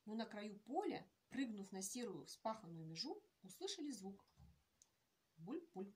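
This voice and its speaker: Gentle woman reading a fairy tale aloud. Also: medium pitch, sing-song, slightly rough. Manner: gentle, measured storytelling. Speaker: author reading her own tale. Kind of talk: children's story reading. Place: indoor room with painted canvases.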